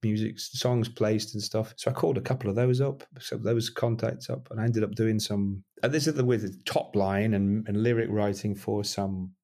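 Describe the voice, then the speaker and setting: A man's voice: fairly low pitch, steady tone, moderate pace, few pauses, warm tone. A middle-aged man, a musician being interviewed, talking casually and rambling with lots of restarts and self-deprecating asides.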